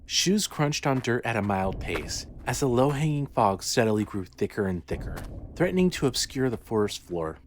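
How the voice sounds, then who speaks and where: A man's voice: mid-range voice, very animated, normal speed, few pauses, slight background noise. A man reading scripted horror fiction as the narrator of an audio story.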